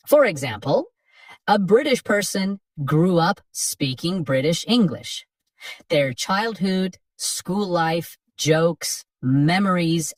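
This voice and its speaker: A woman narrating a scripted English lesson for learners in simple, clearly spaced sentences. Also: sits low, no room sound, recorded from a distance. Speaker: English teacher.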